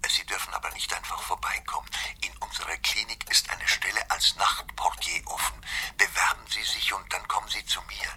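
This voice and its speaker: An actor playing an anxious male clinic nurse, speaking in a hushed, conspiratorial and evasive way in a radio play. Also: sits low, fairly even intonation, a little fast, in a whisper, poor audio. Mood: worried, secretive.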